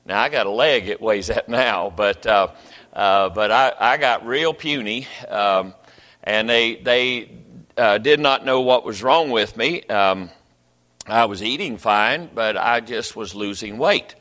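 A man's voice: lowish voice, slow pace, a bit bright, long reverb tail. An older man, a pastor telling stories from the pulpit in a folksy, rambling way with many restarts. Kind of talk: sermon. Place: church sanctuary.

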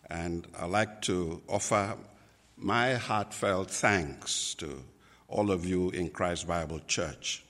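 A man from Nigeria, a native Igbo speaker with a Nigerian accent, preaching a sermon as a pastor, slow and deliberate with many pauses and fillers. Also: low-pitched, a bit bright, long reverb tail.

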